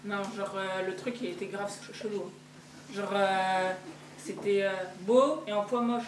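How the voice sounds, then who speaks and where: A woman's voice: mid-range voice, fairly even intonation, a little slow. Slangy hesitant teen girl narrator. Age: teenager. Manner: slangy, hesitant, filler-heavy. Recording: echoey hall from far off, noisy.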